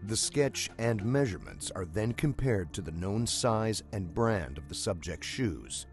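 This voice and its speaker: A man with a very low voice delivers scripted documentary narration. His reading is measured and story-like, with lively movement in pitch. Clear music plays behind him.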